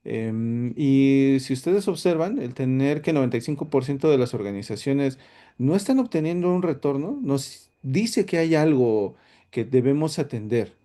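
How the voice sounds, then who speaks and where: A man's voice: mid-range voice, expressive, moderate pace, warm tone. A man hosting an online tech workshop, hesitant, with many fillers and restarts. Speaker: solutions architect, workshop host. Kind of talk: webinar presentation.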